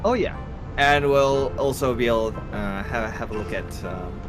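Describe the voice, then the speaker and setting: A middle-aged man with a medium-pitched voice chats casually as he demonstrates things on a livestream. Music plays clearly behind his talk. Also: moderate pace. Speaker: livestream co-host and game artist. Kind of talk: casual chat, screen demonstration.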